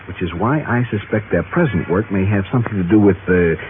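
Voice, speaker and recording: Fussy, animated insurance man, acted by a man. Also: on the low side, a little fast, natural voice. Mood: earnest, worried. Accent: American. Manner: fussy, earnest, flustered, precise. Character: fussy, worrying, proper male insurance man. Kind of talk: 1950s American radio drama acting. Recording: tinny noisy 1950s radio recording.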